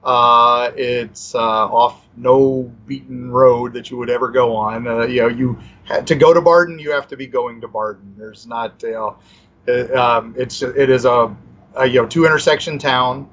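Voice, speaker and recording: A man's voice: mid-range voice, steady tone, normal speed, fairly bright tone. Rambling man with slightly dull audio. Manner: rambling, many fillers and restarts. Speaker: podcast co-host. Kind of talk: casual storytelling chat.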